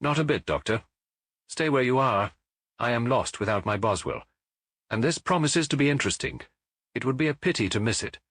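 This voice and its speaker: A man voicing a keen, self-assured Victorian gentleman detective in an audiobook, with a British accent and an incisive, didactic manner. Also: mid-range voice, animated, moderate pace, no echo, studio quality, pitched above the performer's own voice. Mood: confident, commanding. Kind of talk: audiobook character voice.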